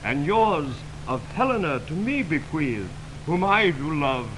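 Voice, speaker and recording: A man's voice: on the high side, sing-song, a little slow. A man playing an ardent, impetuous young lover in theatrical, declamatory 1920s radio Shakespeare style. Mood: earnest. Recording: noisy 1929 radio broadcast on optical film.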